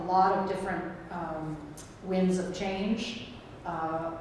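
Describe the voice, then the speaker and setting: A woman who is an assistant rector, introducing herself in a thoughtful, somewhat hesitant way. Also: lowish voice. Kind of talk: self-introduction. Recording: echoey room from far off with noise.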